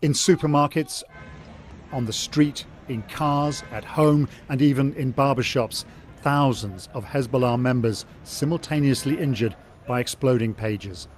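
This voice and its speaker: A man's voice: mid-range voice, unhurried, music in the background. A man reporting a news story in a clipped, sombre manner.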